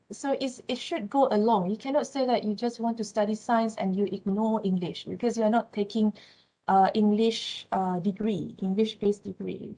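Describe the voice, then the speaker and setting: A woman from Malaysia whose first language is Malay, speaking English with a Malaysian accent as a university lecturer. She gives a classroom lecture, often pausing on "uh" and checking in with "right?" and "yeah?". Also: on the high side, warm tone, low-quality recording. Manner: frequent "uh", tag questions "right?" and "yeah?".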